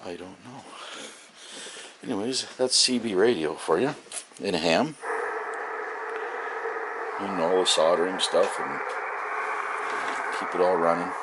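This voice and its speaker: Low-voiced man rambling over heavy noise. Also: takes it slowly, pauses often. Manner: rambling, plain-spoken, corrects himself. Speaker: CB and ham radio hobbyist showing off a collection. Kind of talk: casual unscripted show-and-tell. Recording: very noisy home-video sound with hiss.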